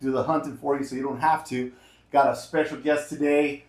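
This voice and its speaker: A man hosting a casual interview show, energetic and loose, often ending lines with "man" and "right?". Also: medium pitch, fairly quick, echoey room. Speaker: podcast host.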